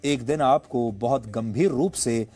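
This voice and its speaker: A man's voice: medium pitch, a little slow, dark tone. A man presenting scripted news commentary as an anchor. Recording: studio voice over background music. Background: background music.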